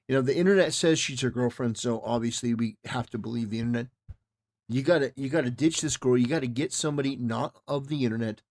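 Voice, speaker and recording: Emphatic man giving opinionated commentary. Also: mid-range voice. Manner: emphatic, repetitive, stop-start phrasing. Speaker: livestreamer reacting to a clip.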